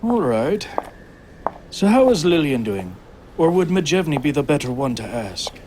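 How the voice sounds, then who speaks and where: An actor playing a genial, protective king in an audio drama, courteous and gently teasing. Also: a little high, very animated, normal speed. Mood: concerned. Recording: noticeable background noise.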